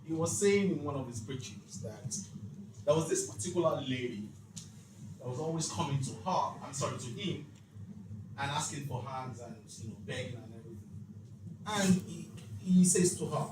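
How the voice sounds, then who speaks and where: A man's voice: on the high side, expressive, moderate pace. In a reverberant room, a man leads a motivational session, picked up a little way from the mic with noticeable noise behind him. He teaches like a preacher, stressing his points and putting rhetorical questions to the group. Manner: preacherly, emphatic, uses rhetorical questions and acted-out dialogue. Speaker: speaker leading a financial literacy leadership session. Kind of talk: motivational faith-based teaching talk to a live group. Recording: echoey room, distant mic, clear background noise.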